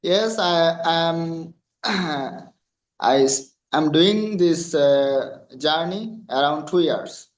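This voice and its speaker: A man with a Bangladeshi accent, Bengali his first language, answering interview questions in halting English full of fillers and restarts. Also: on the high side, reverberant. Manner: halting non-native English with many fillers. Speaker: freelancer guest.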